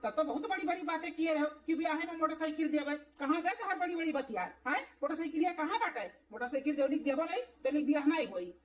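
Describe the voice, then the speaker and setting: A stern, greedy village father from a cartoon comedy, acted by a woman. The voice is high and slightly distant, scolding haughtily in an Awadhi accent. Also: fast, few pauses, close to an everyday voice. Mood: haughty, angry. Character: stern, greedy father of the groom demanding dowry.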